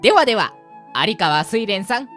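Cute talking rabbit guide, politely bossy and boastful, very animated delivery for radio drama, light music underneath. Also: female voice, mid-range voice, normal speed. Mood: showy, welcoming. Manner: theatrically polite, boastful, uses boyish pronoun. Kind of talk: Japanese radio drama acting.

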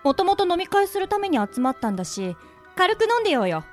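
Lady playing sensible young woman fed up with the guys, high voice, radio drama. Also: big pitch swings, quick pace, faint music behind. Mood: brisk. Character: exasperated, sensible young woman scolding male friends. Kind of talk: amateur Japanese radio drama acting.

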